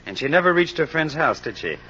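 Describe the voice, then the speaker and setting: An actor playing a shrewd, persistent American detective in an old radio crime drama, probing and assured. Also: male voice, on the high side, lively intonation, fairly quick, sharp tone, slight background noise. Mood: insistent. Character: shrewd, persistent male amateur sleuth questioning suspects. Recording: dull lo-fi old radio recording.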